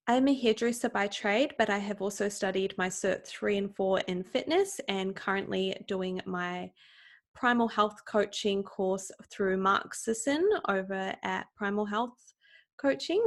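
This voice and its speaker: A young woman with a New Zealand accent introduces her own health podcast. She talks in a bright, gushing, chatty way, dropping the odd "um" and "like" as she goes. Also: mid-range voice. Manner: upbeat, gushing, uses fillers like "um" and "like". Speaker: podcast host. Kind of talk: casual solo podcast intro.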